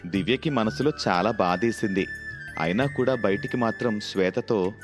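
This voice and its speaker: A man's voice: on the low side, normal speed. A man narrating a scripted children's story as the storyteller. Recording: music behind the voice.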